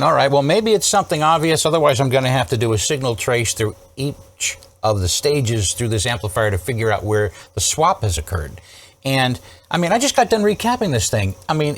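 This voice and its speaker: A man hosting an electronics repair video, talking casually and emphatically through troubleshooting, with rhetorical questions and frequent check-ins. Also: mid-range voice. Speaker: host of an electronics repair series. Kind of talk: casual hands-on troubleshooting demonstration.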